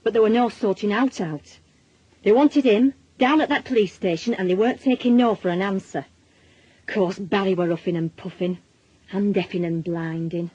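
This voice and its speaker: A middle-aged Northern English mother, played by an actress in a radio drama, worn and plain-spoken. Her voice is soft-edged and husky, and she confides in everyday working-class speech. The recording is slightly dull. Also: medium pitch, normal speed, dry recording, close to an everyday voice. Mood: troubled, confiding. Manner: colloquial, world-weary, confiding. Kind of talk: naturalistic radio drama, monologue and dialogue.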